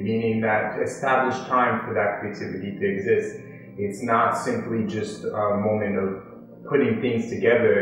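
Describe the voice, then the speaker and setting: Reflective Haitian-born man, low voice. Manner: slow, philosophical, with frequent ums and restarts. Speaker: artist interviewee. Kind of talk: reflective interview answers. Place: art studio with paintings, indoors. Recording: very echoey room with music behind.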